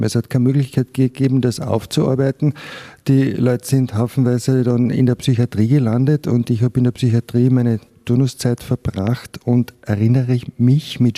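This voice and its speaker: An older man with a Carinthian Austrian accent speaks in a very dark, mellow voice. He gives candid, reflective personal testimony in an interview, with emphatic stresses. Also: medium pitch. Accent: Austrian German (Carinthian). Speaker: interviewee, physician.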